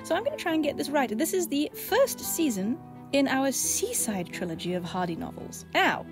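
A woman with a fairly high voice hosts an audio drama introduction. She is chatty and playfully self-deprecating. Music plays clearly behind her. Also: sing-song, moderate pace.

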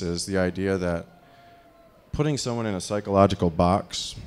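Deep-voiced man rambling on stage. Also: a little mellow. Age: 30s. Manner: rambling, with many fillers. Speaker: speaker. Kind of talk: live talk on stage. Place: dark stage in a hall. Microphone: handheld microphone.

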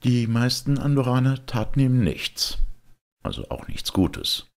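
A man giving an expressive, deliberate reading of a literary text, with emphatic pauses. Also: mid-range voice, vocal fry.

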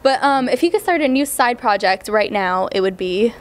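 Young woman interviewer, high and bubbly, faint ambience, handheld mic. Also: lively intonation, normal speed, slight background noise. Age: young adult. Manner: bubbly, laughs often. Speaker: interviewer for a magazine. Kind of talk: upbeat interview host. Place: indoor convention hallway.

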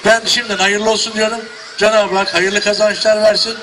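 A man's voice: high-pitched, flat delivery, brisk, background noise. A man, a mayor, giving a folksy, joking ceremonial speech outdoors into a handheld mic. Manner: folksy, joking, ends with blessings. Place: outdoors at a shop opening.

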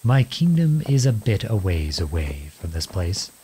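A man playing a gentle, chivalrous young outlawed prince in a romantic fantasy audio roleplay, speaking in formal storybook phrases. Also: deep voice, sing-song, a little slow, a little hiss or hum. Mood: wistful, tender. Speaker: ASMR roleplay voice actor. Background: horse hooves clip-clopping.

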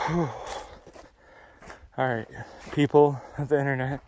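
Young male vlogger, slow unhurried delivery, mid-pitched, nervous and joking, cold and sleepy in a cabin. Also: steady tone, darkish tone, slight background noise. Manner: nervous, self-deprecating, talks slowly. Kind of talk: casual vlog to camera. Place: abandoned cabin at night, cold.

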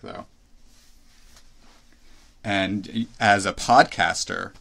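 A man's voice: low voice, gravelly, fairly bright tone. A man in his thirties hosting a solo podcast, thinking aloud with restarts and asides as he gives his opinions. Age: 30s. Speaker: podcast host.

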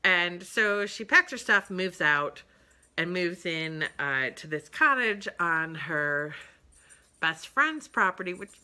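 A woman's voice: fairly low pitch, animated, slow pace, pushed and bright, clear, resonant tone. A woman in her fifties chatting casually about books she read, rambling with lots of ums and uhs, recorded on a webcam in her living room. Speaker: book vlogger. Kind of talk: casual book review chat. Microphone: webcam at desk distance.

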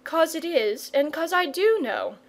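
A woman voicing a quiet, self-assured, bookish young boy who speaks matter-of-factly in a storybook. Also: very high voice, animated, voice pushed up, heavily acted. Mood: calm confidence. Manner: quiet, unhurried, matter-of-fact.

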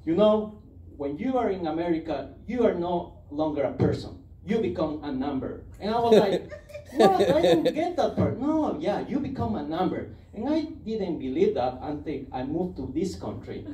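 A man in his thirties from Ecuador with a Spanish accent, doing an animated, self-mocking stand-up set on a club stage with a handheld mic. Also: high-pitched, moderate pace, a little hiss or hum. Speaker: stand-up comedian. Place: comedy club stage, indoors. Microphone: handheld mic, filmed from the audience. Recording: roomy club sound taken from far off, loud ambience.

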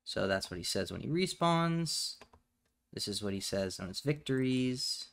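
A man narrating a step-by-step software tutorial in a matter-of-fact, instructional way. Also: mid-range voice, expressive, a little slow, creaky. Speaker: tutorial presenter.

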